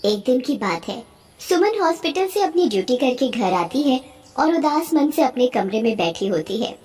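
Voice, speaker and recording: A woman tells a scripted cartoon story. Her voice is very high in pitch. She delivers it as a storyteller. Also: big pitch swings, moderate pace, darkish tone.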